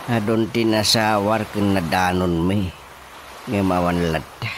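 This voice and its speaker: An actor playing a weary, defensive older husband in an Ilocano radio drama. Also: male voice, fairly low pitch, little pitch movement, a little hiss or hum, lightly acted. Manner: slow, defensive.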